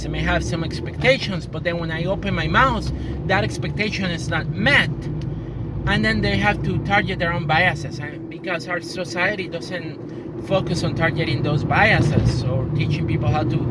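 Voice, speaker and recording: A woman from Puerto Rico with a Puerto Rican accent, talking earnestly and with frustration in a personal monologue, often restarting her sentences. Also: low-pitched. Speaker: customer service worker. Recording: loud traffic noise outdoors.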